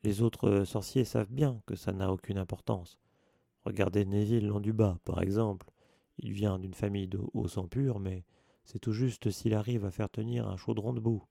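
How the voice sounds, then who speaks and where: Male narrator voicing a hoarse, indignant sick schoolboy wizard, dry close read. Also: lowish voice, fairly even intonation, normal speed, warm tone, no room sound.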